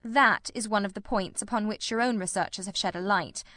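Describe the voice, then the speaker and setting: Casting: woman reading as a calm, incisive gentleman detective for an audiobook drama; measured, explanatory delivery, very dry sound. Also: mid-range voice, no room sound, close to an everyday voice. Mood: calm, analytical.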